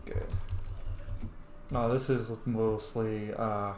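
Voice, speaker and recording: A man casually commenting while playing a game, jokey and excitable. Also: fairly low pitch, drawn-out words, roomy sound, slight background noise. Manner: excitable, jokey, bursts of shouting. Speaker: let's-play host.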